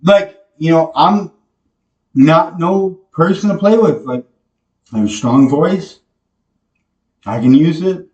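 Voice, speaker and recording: A young man delivers a slow, meandering monologue straight to camera, trailing off and restarting with plenty of fillers. He sits a few feet from the microphone in a small, bare, echoey room, so his voice sounds distant and roomy. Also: fairly high pitch, darkish tone, muffled recording. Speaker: podcast host. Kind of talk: rambling devotional monologue. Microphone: camera mic from a few feet away.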